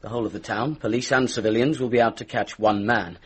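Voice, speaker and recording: A man playing a brisk, official police inspector in a radio drama, British accent, formal and businesslike. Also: on the low side, steady tone, breathy edge. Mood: brisk, confident. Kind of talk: radio mystery drama acting.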